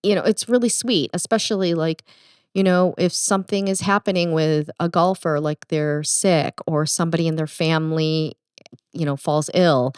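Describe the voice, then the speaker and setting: A woman's voice: sits low, fairly even intonation, moderate pace, darkish tone, clean tone, no room sound, clean studio sound. A middle-aged woman with a Midwestern accent, co-hosting a casual podcast chat and rambling with restarts and fillers. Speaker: podcast co-host.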